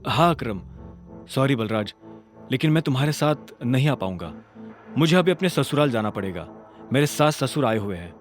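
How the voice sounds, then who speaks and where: A man voicing a self-assured young male fighter in an audio drama, calm, terse and firm. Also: medium pitch, moderate pace, soft background music, lightly acted. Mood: apologetic. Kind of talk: Hindi audio drama character voice.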